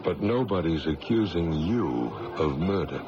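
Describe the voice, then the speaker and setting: An actor playing a shrewd, dryly sardonic judge in a radio drama, probing in a measured way. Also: male voice, deep voice, lively intonation. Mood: probing, calm. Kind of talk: old-time radio drama acting. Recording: dull radio broadcast with music behind.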